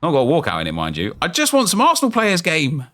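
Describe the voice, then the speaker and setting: A man, chatty and excitable, thinking aloud as he opens packs in a football game, losing his voice and getting unwell. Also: on the high side, fast. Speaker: gaming YouTuber. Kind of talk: casual pack-opening commentary.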